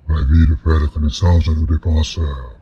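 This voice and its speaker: A man playing an elderly alien supreme leader, dignified, genial and formally courteous, with a gravelly voice in an audio drama. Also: rumbling low, fairly even intonation, moderate pace, dark tone, muffled recording, exaggerated voice. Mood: courteous, commanding.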